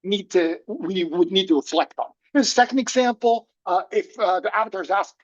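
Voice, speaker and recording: Panel speaker, mid pitch, halting and reflective, lo-fi online call. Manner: hesitant, thoughtful, many fillers. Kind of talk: online academic presentation. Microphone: video call.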